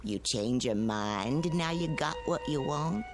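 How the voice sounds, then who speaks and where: A woman's voice: low voice, expressive, slow pace, over music, full character voice. An actress playing a sly, mocking old backwoods witch with a Southern US accent and a taunting drawl in a radio drama. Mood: sly, knowing.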